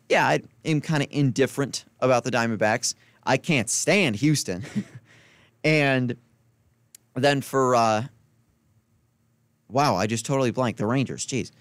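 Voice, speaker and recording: A young man hosting a college sports podcast, running through playoff schedules and scores in a casual, chatty way with quick asides. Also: medium pitch, clean tone, no room sound. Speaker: podcast host. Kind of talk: solo sports podcast rundown. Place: college radio studio.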